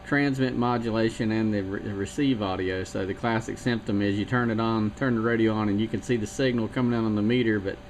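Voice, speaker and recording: A man's voice: lowish voice, fairly even intonation, fairly quick, hardly any pauses, a little hiss or hum, low-quality recording. A man from South Carolina, a radio hobbyist, talking through circuit details in a thoughtful, meandering way. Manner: thoughtful, meandering, many fillers. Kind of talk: technical chat about radio circuits.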